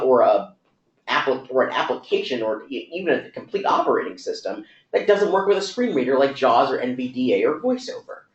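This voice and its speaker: A man explaining his views in a conversational talk, speaking in quick run-on sentences with fillers. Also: mid-range voice. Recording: echoey room, dull lo-fi sound.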